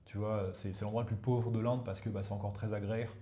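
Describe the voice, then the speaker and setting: A man's voice: lowish voice, little pitch movement, a little fast, dark tone. A man from India, a Hindi speaker, explaining things as a guest expert in a casual interview and tagging his sentences with "you see". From India, Ganges plain. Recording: roomy echo.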